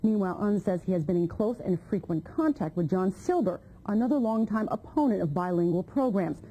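A woman's voice: mid-range voice, expressive, fairly quick, mellow, slight background noise, rough recording. A woman, a TV news reporter, reading a scripted report and asking pointed questions.

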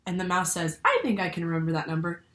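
A woman's voice: fairly low pitch, quick pace, a bit bright. A young woman reading a children's picture book aloud like a teacher, expressively, asking the listeners questions. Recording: roomy indoor echo.

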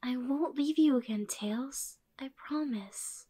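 A gentle young cartoon plant girl voiced by a woman, comforting in manner. The voice is mellow and slow, in a high register. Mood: soothing. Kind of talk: fan comic dub.

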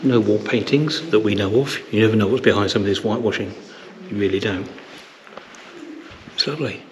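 A man's voice: fairly low pitch, fairly quick, dull audio, background noise. A man in his fifties chatting enthusiastically while filming himself on a village walk, camera mic at arm's length. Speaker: vlogger. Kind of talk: casual walking vlog. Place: village church and lanes, outdoors. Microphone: handheld camera mic at arm's length.

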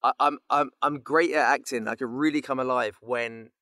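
A man's voice: medium pitch, steady tone, normal speed, sharp tone, no room sound, clean studio sound. A man chatting casually as a podcast guest, rambling and often interrupting himself, recorded on a microphone in a home booth. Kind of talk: casual chat.

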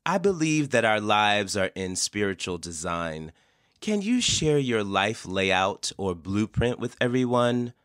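A man hosts an interview podcast, speaking slowly in a lowish voice that rises and falls animatedly. The sound is dry and close. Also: clean tone, dry recording. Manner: lively intonation, supportive listener. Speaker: podcast host.

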